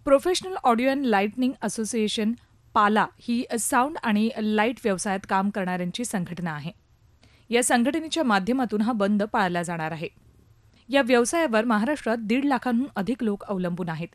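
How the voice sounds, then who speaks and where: High-voiced woman narrating news, dry sound. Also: lively intonation, moderate pace, dry recording. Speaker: news reporter. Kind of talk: scripted news report narration.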